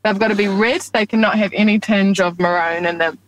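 A woman's voice: medium pitch, steady tone, fairly quick. A young woman from New Zealand, a rugby league captain, chatting casually in an interview and laughing often. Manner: laid-back, chatty, laughs often, says "reckon" and "like".

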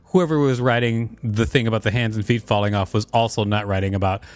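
A man's voice: on the low side, steady tone, fairly quick. A man co-hosting a podcast, reading an article aloud with casual banter. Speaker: podcast co-host.

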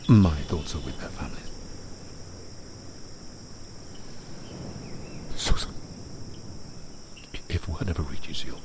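An actor playing a weary, frightened stranded man who keeps his composure, halting and stammering through a radio log in an audio drama. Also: sits low, noisy background. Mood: sombre, formal. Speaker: narrator-survivor sending radio log.

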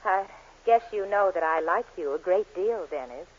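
A woman's voice: fairly low pitch, animated, normal speed, a little mellow, breathy edge. An actress playing an insistent, flirtatious married woman in a theatrical 1940s radio sitcom style. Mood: coy, coaxing. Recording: muffled, noisy vintage 1940s radio recording.

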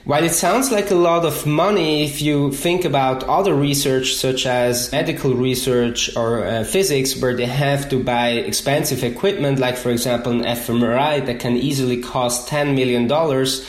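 A man from Switzerland with a Swiss accent, explaining his research in measured detail as an interview guest. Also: mid-range voice, steady tone, echoey room. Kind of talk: interview answers.

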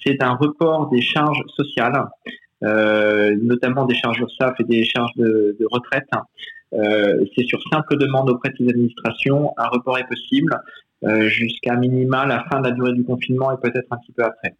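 A man's voice: medium pitch, steady tone. A man speaking as a guest financial advisor from a remote connection, explaining step by step with frequent hesitations. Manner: measured, explanatory, many hesitations. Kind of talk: remote interview. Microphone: remote call. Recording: dull remote line with faint hiss.

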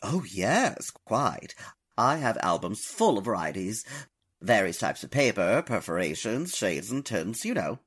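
A man acts out an urbane, self-satisfied gentleman of leisure in an old-time radio mystery. His voice is husky and swings widely in pitch as he picks his words with fussy, affected precision. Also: sing-song, measured pace, exaggerated voice. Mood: genteel, eager. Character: urbane, smug gentleman stamp collector who relishes gossip.

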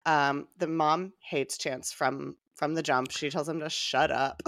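A woman's voice: low voice, fairly even intonation, slow pace, a bit bright. A woman co-hosting a podcast, chatting casually and giggling between remarks over a remote call. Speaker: podcast co-host. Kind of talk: casual chat recapping a reality show. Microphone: remote call microphone.

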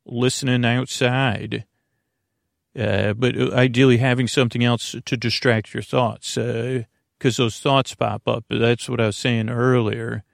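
A man hosting a sleep podcast, chatting in a meandering, halting, digressive monologue with a gravelly, creaky voice that often trails off mid-sentence. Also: medium pitch, level tone, no echo, clean studio sound. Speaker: podcast host.

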